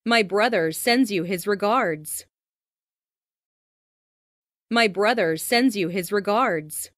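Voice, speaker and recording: A woman's voice: mid-range voice, takes it slowly, a bit bright, dry recording, studio quality. A woman reading scripted example sentences carefully for language learners, in separated phrases. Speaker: language lesson narrator.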